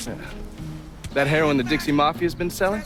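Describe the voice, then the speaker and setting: A man's voice: on the high side, brisk, music in the background, natural voice. An actor playing a cynical, hot-tempered male intelligence operative in a video game, brash and talkative. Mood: briefing, confident. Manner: brash, foul-mouthed, talkative.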